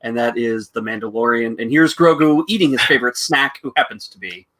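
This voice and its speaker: A playful, giggly man co-hosting a podcast, hesitating with little ums between thoughts. His voice has a bright, forward tone. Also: medium pitch, fairly quick. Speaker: podcast co-host. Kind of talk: casual chat.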